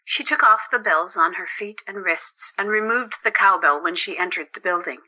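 A woman's voice: fairly low pitch, moderate pace, sharp tone, highs cut off. A woman narrating a scripted story as the narrator of an audio drama.

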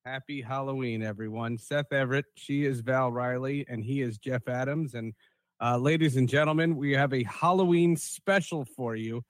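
A man's voice: mid-range voice, normal speed, no room sound, poor audio. A middle-aged man hosting a podcast in casual conversation. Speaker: podcast host. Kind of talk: casual hosted podcast talk.

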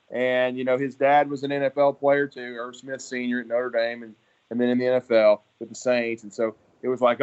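A man's voice: mid-range voice, highs cut off. A man hosting a sports show, discussing college football in a conversational, opinionated and detailed way. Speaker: sports podcast host.

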